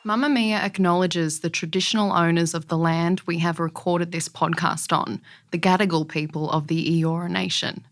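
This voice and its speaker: A woman reading a formal scripted announcement in a measured, solemn manner. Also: fairly low pitch, fairly even intonation.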